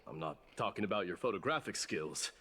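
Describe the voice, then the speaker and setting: Deep, solemn warrior prince. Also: male voice, animated, a bit bright, a little hiss or hum. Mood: awkward, softened. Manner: formal, measured, dignified. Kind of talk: scripted video game dialogue. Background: game music.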